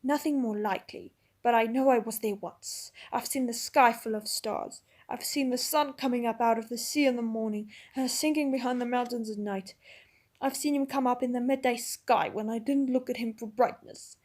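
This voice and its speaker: A woman's voice: on the high side, normal speed, darkish tone, close to an everyday voice. Woman doing a stubborn, breathless marsh-wiggle. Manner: stout, short of breath.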